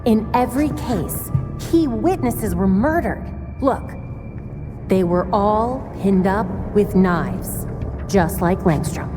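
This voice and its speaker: A woman's voice: medium pitch, lively intonation, slow pace. An actress playing a determined, self-critical young female detective hero in a video game, dry and musing. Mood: focused deduction. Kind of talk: video game cutscene acting. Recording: long hall reverb, music behind.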